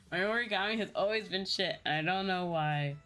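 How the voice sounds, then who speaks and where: A woman's voice: fairly low pitch, fairly bright tone. A woman livestreamer chatting casually to her viewers in a playful, self-deprecating manner. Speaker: livestreamer, VTuber.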